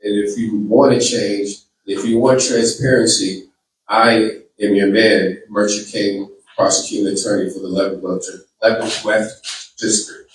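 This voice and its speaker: A man's voice: fairly low pitch, little pitch movement, a little slow, a little hiss or hum. A man with a Boston accent giving a campaign speech and taking questions, earnest and often repeating his words. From Boston, Massachusetts. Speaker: political candidate. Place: large room with an audience. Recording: echoey room, distant mic.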